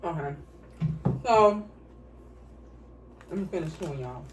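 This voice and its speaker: A woman's voice: low voice, lively intonation, a little slow. A blunt woman talks casually between bites and swears freely. Her voice rings in a roomy space, picked up from a little way off mic with a faint hiss underneath. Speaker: vlogger answering couple questions. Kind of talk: casual mukbang chat. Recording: echoey room, mic at a distance, faint hiss.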